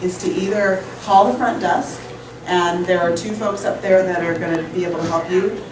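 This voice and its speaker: A woman's voice: lowish voice, fairly even intonation, normal speed. A woman from Indonesia, a teacher, informally addressing parents with fillers. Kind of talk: addressing parents at a school open evening. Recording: echoey classroom from far off, background noise.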